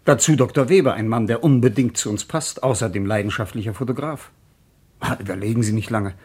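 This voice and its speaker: Radio drama: confident publisher and organiser, slightly rough husky voice, businesslike and urging. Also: male voice, on the low side, brisk, a little mellow. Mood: eager, persuasive. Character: brisk, self-assured male publisher, persuasive organiser. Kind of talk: radio play acting.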